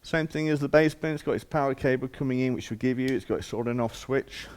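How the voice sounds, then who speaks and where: A man's voice: mid-range voice, fast talker, clean tone. A man giving an informal hands-on demonstration as a shop presenter, explaining step by step in plain, casual words.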